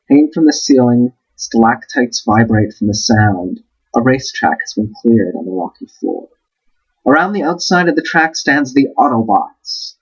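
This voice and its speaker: A man's voice: mid-range voice, a little mellow, breathy edge, muffled recording. A man reading a storybook aloud as host, with wry, playful asides.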